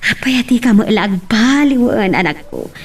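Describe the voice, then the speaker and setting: Woman as middle-aged mother, fussing, scolding, very lively intonation, Ilocano radio drama. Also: a little high, moderate pace, breathy edge.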